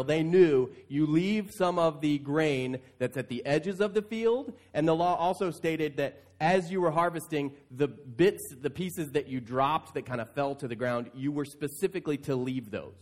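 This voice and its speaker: A male pastor preaching in a conversational style, stretching key phrases for emphasis. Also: a little high. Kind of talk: conversational sermon.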